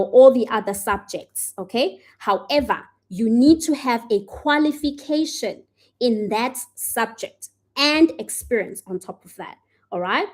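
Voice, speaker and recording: Woman doing a live stream q&a, chatty, keeps asking "okay?", talks kinda fast, mid voice. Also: mid-range voice. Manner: checks in often with "all right?" and "okay?"; says she tends to speak too fast. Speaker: live stream host answering teaching questions. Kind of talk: live Q&A with viewers.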